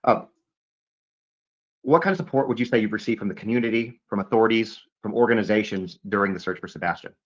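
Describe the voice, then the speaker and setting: A man with a lowish voice asks questions at a rapid clip. He trips into restarts as he rushes ahead. The sound is a little dull and lo-fi. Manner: quick, eager questioning with frequent restarts. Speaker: interviewer.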